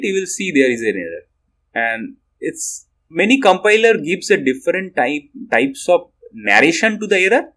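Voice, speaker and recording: A middle-aged man with an Indian English accent, giving a recorded university programming lecture and often restarting his sentences. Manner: rambling with restarts, lively rising and falling pitch.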